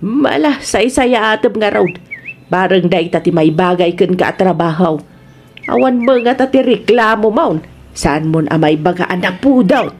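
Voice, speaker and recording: A woman's voice: medium pitch, expressive, unhurried, darkish tone, a little hiss or hum. A woman with an Ilocano accent playing a scolding, teasing, sighing mother of a grown son in a comedy radio drama. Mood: skeptical. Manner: nagging, sighing, exclamatory.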